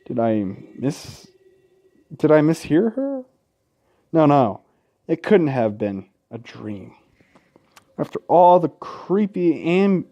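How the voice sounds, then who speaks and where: Slow-talking, mellow-voiced male gamer. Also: fairly high pitch. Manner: casual, expressive, sweary, dramatic reading. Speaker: let's play host. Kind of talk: gaming commentary, reading visual novel text aloud with asides. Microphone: close gaming microphone.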